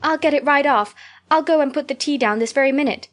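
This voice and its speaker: A woman's voice: mid-range voice, a little fast, close to an everyday voice. A woman reading aloud, voicing an imaginative, talkative little girl who gushes breathlessly. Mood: pleading. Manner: gushing, breathless storytelling. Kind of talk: audiobook character reading.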